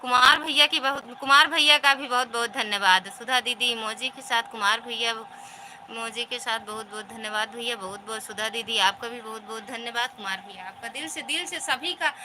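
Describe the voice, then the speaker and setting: A woman's voice: on the high side, moderate pace, noisy background. A woman with a rural north Indian Hindi accent hosting a live stream, reading out viewers' comments and thanking them one by one in a casual, repetitive way. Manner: warm, repetitive greetings and thanks.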